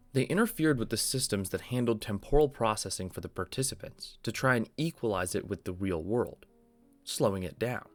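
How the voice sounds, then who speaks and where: Low-voiced young man narrating over music. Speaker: video essay host explaining an analog horror series. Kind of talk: scripted narration.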